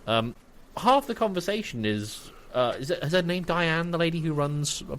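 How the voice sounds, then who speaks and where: A man's voice: fairly high pitch, animated, normal speed, fairly bright tone. A man co-hosting a casual, opinionated review chat, given to casual swearing. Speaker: podcast co-host. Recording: clear background noise.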